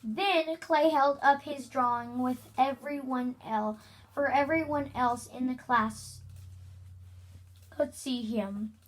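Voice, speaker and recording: A young child reading a children's book aloud, haltingly, stumbling over words. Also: sits high, rough recording. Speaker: reader.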